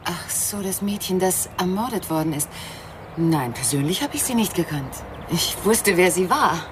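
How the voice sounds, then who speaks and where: A woman's voice: on the low side, animated, moderate pace, lightly acted. An actress playing a polite, guarded, evasive married woman in a German radio crime drama, formal and reserved. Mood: evasive, cool. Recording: vehicle noise behind.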